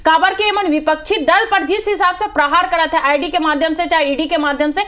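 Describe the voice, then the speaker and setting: A woman's voice: very high voice, fairly even intonation, brisk, bright, forceful tone. A woman party spokesperson with a Chhattisgarhi accent arguing in a political TV debate, combative and emphatic as she makes accusations.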